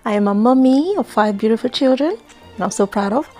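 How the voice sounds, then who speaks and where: A woman's voice: a little high, lively intonation, a little fast, warm tone, faint music behind. A middle-aged woman, a life coach hosting her own show, talking earnestly and often saying "you know". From Democratic Republic of Congo. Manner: earnest, repeats words, frequent "you know". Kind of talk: talk-show monologue.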